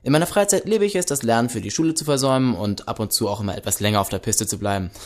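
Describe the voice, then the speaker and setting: A man's voice: medium pitch, racing. A man of twenty introducing himself in a cheeky, upbeat way as a band member. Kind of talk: self-introduction.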